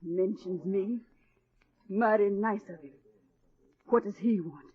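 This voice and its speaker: Husky, bitter, hard-working mother. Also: mid-range voice, slow. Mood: bitter, hurt. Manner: sharp, resentful. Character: weary, bitter, possessive mother working as waitress. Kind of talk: old-time radio drama acting. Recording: muffled, tinny old radio recording.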